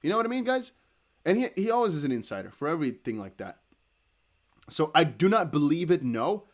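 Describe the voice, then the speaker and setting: A young man with a Dutch accent, hosting a crypto livestream in casual chat, reading viewer comments aloud. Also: a little high. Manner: chatty, animated, reads viewer comments aloud with quick laughs.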